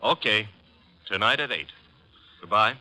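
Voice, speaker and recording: An actor playing a cheeky, breezy detective's assistant in a 1950s radio drama, with a flippant, wry manner. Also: male voice, on the low side, measured pace, pushed and bright, poor audio. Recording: vintage radio broadcast recording.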